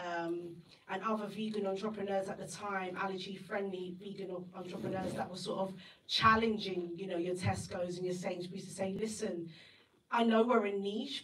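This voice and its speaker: A woman's voice: mid-range voice, fairly bright tone, a little hiss or hum. Chatty woman in an echoey room. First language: Igbo. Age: 30s. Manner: chatty, laughs often, acts out her own inner voice. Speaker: festival guest speaker. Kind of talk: animated interview storytelling. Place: event venue indoors. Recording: echoey room, mic at a distance.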